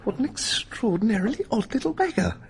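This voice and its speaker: A man's voice: sits high, very animated, brisk, creaky, crowd noise, exaggerated voice. An actor playing a dismissive, snobbish young man with a British accent in a radio drama. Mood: scornful.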